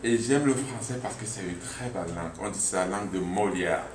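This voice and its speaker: Low-voiced man, distant and echoey. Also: brisk. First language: French. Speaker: interviewee. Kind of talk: considered interview answer. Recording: echoey, distant mic, background noise.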